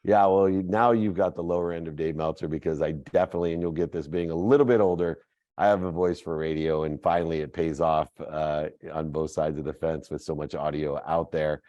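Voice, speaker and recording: A man's voice: extremely low pitch, steady tone, a little fast, mellow, dry recording, muffled recording. A middle-aged man hosting an interview podcast, asking long winding questions full of metaphors. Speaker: podcast host. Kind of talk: interview podcast, casual and effusive.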